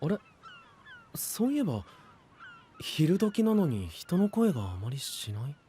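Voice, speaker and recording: A man voicing a sensible, gentle young traveler, cautious and mildly exasperated, in an animated audio drama. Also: on the high side, unhurried, slight background noise. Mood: uneasy. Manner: soft-hearted, cautious, questioning. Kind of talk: animated Japanese voice drama acting.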